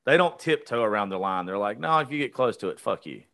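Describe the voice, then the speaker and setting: A man's voice: on the low side, lively intonation, fast talker, gravelly, fairly bright tone. A man, hosting a casual interview chat in a blunt, joking, foul-mouthed way. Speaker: show host.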